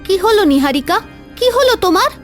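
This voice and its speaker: A woman voicing a caring young fairy friend in a fairy-tale cartoon, earnest and expressive. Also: very high voice, fairly quick, music in the background. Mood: concerned.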